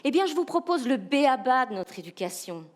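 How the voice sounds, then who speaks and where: Lively Belgian woman giving a keynote. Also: high voice, normal speed. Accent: Belgian French. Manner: animated, humorous, rhetorical questions to the audience. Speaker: keynote speaker, professional coach.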